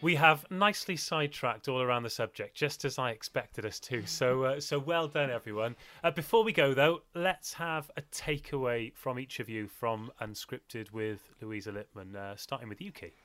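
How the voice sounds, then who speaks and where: A man hosting a casual podcast chat over a video call, chatty and energetic, often trailing off mid-sentence. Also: medium pitch, normal speed, few pauses. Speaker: podcast host. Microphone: video call mic.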